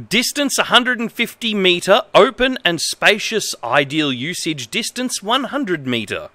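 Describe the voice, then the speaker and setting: An Australian man in his thirties reading aloud on a livestream in a halting, exaggerated way, with incredulous asides. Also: high-pitched, sharp tone, dry recording. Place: indoor home streaming setup.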